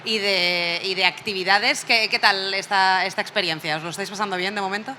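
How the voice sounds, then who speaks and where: A woman's voice: medium pitch, steady tone, fairly quick, bright, forceful tone. A woman interviewer asking questions in a chatty, friendly way during a casual interview. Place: busy convention hall. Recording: noisy convention floor.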